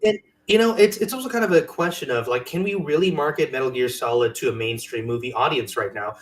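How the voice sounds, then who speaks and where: A man's voice: mid-range voice, fast talker. A man hosting a casual podcast chat about games and movies, with a playful, jokey way of talking. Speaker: podcast host.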